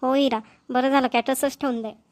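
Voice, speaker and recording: A woman's voice: high voice, fairly even intonation, normal speed, lightly acted. A woman voicing a chatty, practical village woman in a cartoon, in homely Vidarbha Marathi. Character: chatty, practical village women neighbours planning an engagement. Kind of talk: amateur cartoon dialogue.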